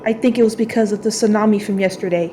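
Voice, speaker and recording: A woman's voice: mid-range voice, steady tone, fast talker, over music, lightly acted. A woman playing an alarmed nuclear plant worker who reports to her boss in an audio drama. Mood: worried.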